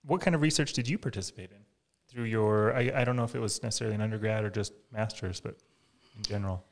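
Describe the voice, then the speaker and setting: A young male podcast host with a low, gravelly voice, asking easygoing questions and checking in with "right?" a lot. He speaks into a desk broadcast mic in a small studio office. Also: quick pace. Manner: casual, affirming, fillers like right and yeah. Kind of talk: interview questions. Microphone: desk-mounted broadcast mic.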